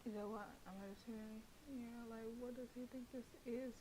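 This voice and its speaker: A woman's voice: fairly high pitch, a little fast, noisy background. Blunt, laughing woman streamer. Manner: blunt, profane, animated reactions with laughter between read narration. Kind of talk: reading a visual novel aloud with reactions.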